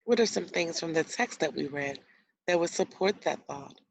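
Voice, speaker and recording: A woman's voice: fairly low pitch, lively intonation, normal speed, creaky. A woman teacher reading a storybook aloud to young children, explaining words and asking guiding questions as she goes. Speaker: elementary school teacher.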